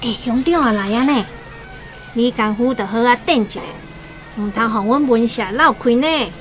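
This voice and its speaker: An actress with a Taiwanese accent playing a bossy village wife hosting a banquet in a radio drama. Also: female voice, fairly high pitch, moderate pace, faint music behind, lightly acted. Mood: fussy, urging.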